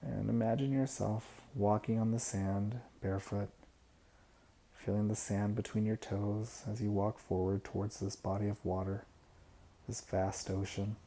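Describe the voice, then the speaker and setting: A man leading a guided imagery meditation, giving soft-spoken, unhurried instructions with pauses between phrases. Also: fairly low pitch, steady tone.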